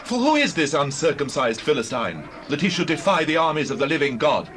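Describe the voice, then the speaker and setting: Man voicing a high-pitched, bold shepherd youth. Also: very animated, fairly quick, background noise. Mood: indignant. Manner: earnest, declamatory. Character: bold, devout young shepherd lad defying a giant. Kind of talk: dramatized scripture reading.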